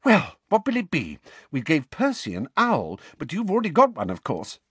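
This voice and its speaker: A man's voice: high-pitched, huge pitch swings, unhurried, slightly rough, dry recording, pitched above the performer's own voice, exaggerated voice. A man voicing a doting, flustered middle-aged mother in an audiobook, breathless and gushing. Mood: breathless, fond.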